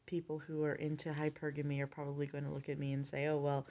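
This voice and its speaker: Low-voiced woman giving earnest opinions. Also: completely flat delivery, mellow, muffled recording. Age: late 20s. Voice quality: low-pitched voice. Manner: earnest, measured, thinking aloud with pauses. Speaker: vlogger. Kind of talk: casual opinion vlog.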